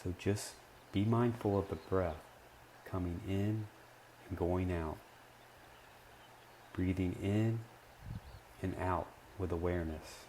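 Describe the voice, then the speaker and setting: A man leading a guided meditation, speaking gently and in measured short phrases with long pauses between them. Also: deep voice, fairly even intonation, a little hiss or hum.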